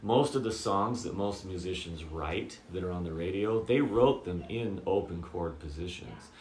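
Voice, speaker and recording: A man with a very low voice giving a casual guitar lesson, checking in often with "okay?" and "does that make sense?". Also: moderate pace, darkish tone, echoey room. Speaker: guitar teacher.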